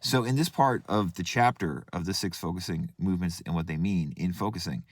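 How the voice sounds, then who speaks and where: Hoarse deep-voiced man, earnest coach. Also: a little fast, no echo, clean studio sound. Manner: earnest, with many restarts and asides. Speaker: manifestation coach. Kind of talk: reflective talk with book readings.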